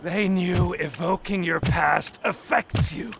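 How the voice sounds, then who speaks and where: An actor playing a scheming, manipulative male villain who sneers and erupts into shouts in a game cutscene. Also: high-pitched, slow pace, gravelly, noisy background, exaggerated voice. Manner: theatrical, sneering, swings into angry shouts. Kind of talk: video game cutscene acting.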